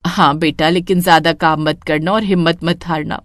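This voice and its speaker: A woman's voice: deep voice, fairly even intonation, moderate pace, pitched below the performer's own voice, close to an everyday voice. A woman voicing a loving, proud mother in a Hindi moral cartoon story, affectionate and encouraging. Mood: caring, proud. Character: loving, proud mother of a poor young cook.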